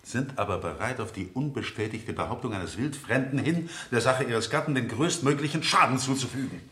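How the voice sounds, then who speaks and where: An actor playing a relentless, insinuating cross-examining lawyer in a radio play, with formal, pointed, sardonic questioning. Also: male voice, medium pitch, animated, fairly quick, bright, forceful tone. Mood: accusing. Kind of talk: 1970 German radio play acting. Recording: echoey vintage radio studio.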